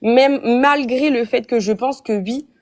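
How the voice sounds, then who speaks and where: A woman's voice: fairly high pitch, a little slow, a little mellow. A young woman from France, calling into a live stream and arguing her view with many restarts and fillers. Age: young adult. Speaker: guest caller. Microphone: phone app call.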